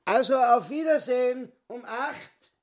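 An actor playing a boastful, self-important small-time schemer in a theatrical radio play, with a showy, drawn-out delivery. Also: male voice, very high voice.

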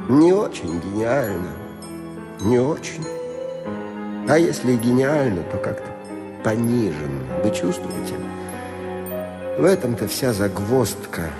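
An actor playing a rueful leader of island poets in a radio comedy, plaintive and deliberate. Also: male voice, mid-range voice. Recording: long hall-like reverb.

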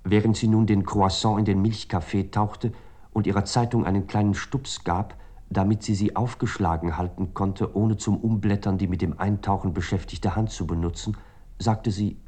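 An actor playing a reflective, ironic literary narrator in a radio play, speaking in measured, articulate, long sentences. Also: male voice, fairly low pitch, fairly even intonation, warm tone, natural voice. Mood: measured, gently ironic.

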